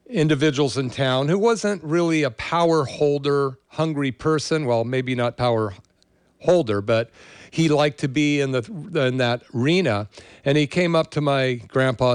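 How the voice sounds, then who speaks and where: Older American man, clear smooth voice. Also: medium pitch, dry recording, studio quality. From California, USA. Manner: rambling, conversational. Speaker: show host. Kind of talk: casual monologue with personal storytelling.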